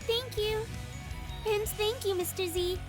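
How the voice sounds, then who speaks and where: A woman voicing a sweet, excitable teenage anime girl in a visual novel game, eager and squeaky over loud music. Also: slow pace.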